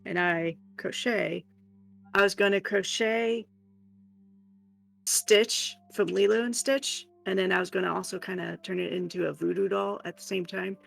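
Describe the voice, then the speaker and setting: A woman's voice: medium pitch, fairly even intonation, unhurried, creaky, soft background music. A woman chatting casually as a livestream co-host, joking and teasing. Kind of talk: casual chat.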